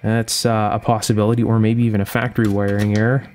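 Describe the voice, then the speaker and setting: A man's voice: lowish voice, level tone, fast talker, noisy background. A man talking through a hands-on repair step by step, unscripted, methodically thinking aloud at an indoor workbench. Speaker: host of an electronics repair channel. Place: indoor workshop bench.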